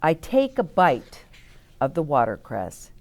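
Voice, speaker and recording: Slow, expressive woman reading to children. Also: low-pitched. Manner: deliberate, explains and questions as if to young children. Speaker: storytime host reading picture books aloud. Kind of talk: expressive read-aloud for children with asides to listeners.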